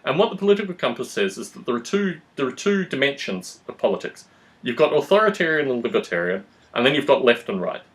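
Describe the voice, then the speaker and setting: A man's voice: mid-range voice, very animated, fast. A man hosting a conversational discussion, explaining his views in a long-winded, analytical way. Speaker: podcast host.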